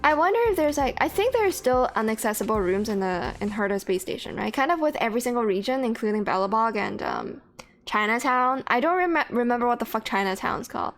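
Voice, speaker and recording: A woman's voice: on the high side, lively intonation, fast. A woman whose first language is Cantonese, streaming a game in casual chat, swearing freely and laughing often. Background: faint game music.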